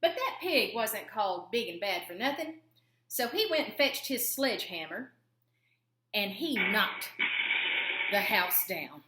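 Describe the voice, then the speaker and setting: A woman's voice: medium pitch, very animated, a little slow, pauses often, bright, forceful tone, reverberant, slight background noise. A woman reading a storybook aloud to children in a theatrical, emphatic way. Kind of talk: expressive read-aloud narration for children.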